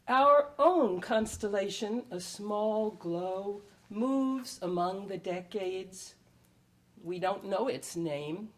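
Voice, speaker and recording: A woman reading her own poetry aloud at a live event, in measured and expressive phrases with deliberate pauses. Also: medium pitch. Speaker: poet reading own work. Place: live public reading at a library.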